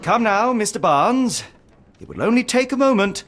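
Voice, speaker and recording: An actor playing a shrewd gentleman detective with formal, precise, probing speech in a scripted game. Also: high-pitched, very animated, normal speed. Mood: insistent.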